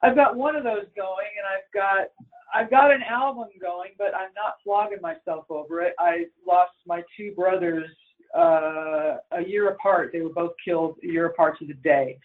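Rambling, blunt male musician, high-pitched, heard over a tinny phone line. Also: lively intonation, normal speed. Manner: rambling and blunt, swears freely. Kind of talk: casual interview over the phone.

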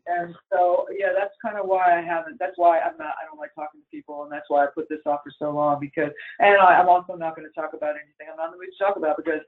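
A man with a high voice rambles bluntly about his work, swearing freely as thoughts pile up. He talks in a loose, casual interview manner. The sound comes through a thin, tinny phone line. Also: quick pace, few pauses. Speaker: musician.

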